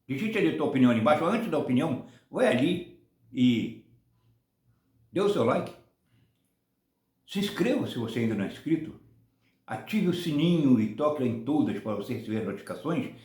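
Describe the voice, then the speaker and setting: A man in his seventies from Brazil, rambling through casual football commentary on his own channel, often ending points with a quick "tá?". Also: medium pitch, animated, a little mellow, roomy sound. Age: 70s. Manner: rambling, many restarts and tag "tá?".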